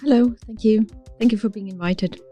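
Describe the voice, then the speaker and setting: A middle-aged woman from Austria with an Austrian accent, telling her story as an interview guest, halting now and then as she searches for words in English. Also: mid-range voice, dark tone, slightly rough, soft background music. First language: German. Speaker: podcast guest, city official. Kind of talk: conversational interview, personal storytelling.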